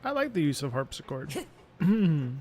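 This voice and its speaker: A man's voice: fairly high pitch, very animated, moderate pace, dark tone, faint music behind. A man giving casual live commentary as a game streamer, in a musing, offhand way. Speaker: streamer reacting to a game and its music.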